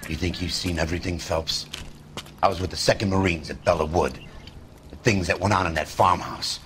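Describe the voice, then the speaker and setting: An actor playing a blunt, bitter, middle-aged American police detective in a dramatic video game. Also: male voice, sits low, steady tone, fairly quick, a bit bright, slight background noise. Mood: grim, bitter. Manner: blunt, bitter, tough-talking. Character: gruff, world-weary, profane veteran male police detective.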